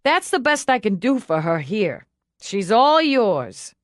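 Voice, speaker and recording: A chirpy medic acted by a female audiobook reader talks in a brisk, matter-of-fact way. The delivery is lively, that of a cheerful and long-suffering professional. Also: medium pitch, dry recording, clean studio sound. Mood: resigned.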